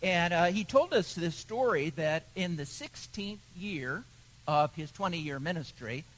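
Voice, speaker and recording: Slow-speaking preacher man. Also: a little high, expressive. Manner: storytelling, measured with pauses. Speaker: pastor. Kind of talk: sermon.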